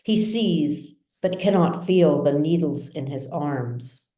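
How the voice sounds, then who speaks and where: A woman author reading her novel as dramatic literary narration, with measured, deliberate phrasing. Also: deep voice, lively intonation, mellow. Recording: roomy echo, distant mic.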